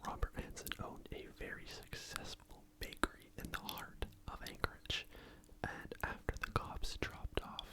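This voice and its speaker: An ASMR creator telling a true crime story in a fully unvoiced whisper, casual and conversational, with fillers and personal asides. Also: moderate pace.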